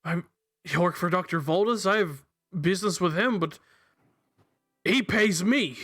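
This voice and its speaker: A man's voice: sits high, unhurried, a bit bright, pitched above the performer's own voice. A man voicing a panicked male thug pinned to the floor, swearing and pleading in a raised voice.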